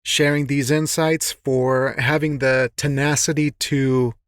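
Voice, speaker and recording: A man's voice: mid-range voice, flat delivery, slow, clear, resonant tone, no echo, clean studio sound. A man hosting a conversational interview in an appreciative, encouraging manner. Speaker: podcast host, interviewer.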